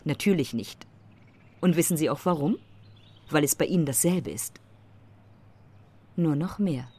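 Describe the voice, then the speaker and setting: A woman playing a self-assured, provocative photographer in a German radio drama, with a husky voice and pointed, theatrical phrasing. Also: low voice, lively intonation, a little slow, dark tone, heavily acted. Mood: teasing, admiring.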